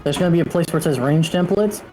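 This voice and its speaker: A man's voice: a little high, fast, over music, rough recording. A man chatting casually as a player in a tabletop game, explaining how things work.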